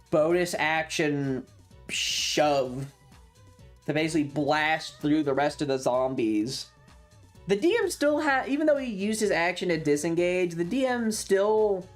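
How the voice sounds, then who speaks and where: Mid-pitched voice, casual streamer storytelling, emphatic, frequent swearing, background music.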